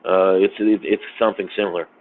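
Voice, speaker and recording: A man in casual podcast conversation, talking haltingly with many fillers and restarts. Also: sits low, little pitch movement. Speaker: podcast guest. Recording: tinny phone-like sound, faint noise.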